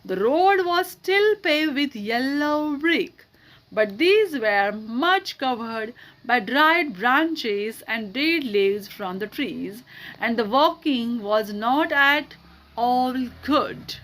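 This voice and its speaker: Female children's storyteller, high pitch, very animated melody, reading slowly. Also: rough recording. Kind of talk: children's audiobook narration.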